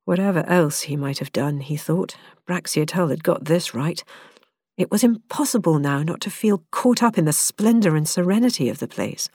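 A woman reading an audiobook passage in measured, descriptive narration. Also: low voice, mellow, husky, no room sound, clean studio sound. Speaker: audiobook reader. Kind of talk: scripted narration.

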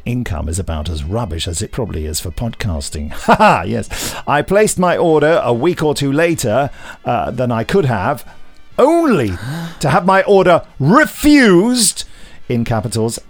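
A man's voice: medium pitch, sing-song, measured pace, soft background music. A man hosting a podcast, reading aloud and chatting in a theatrical, emphatic way with joking asides. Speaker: podcast host.

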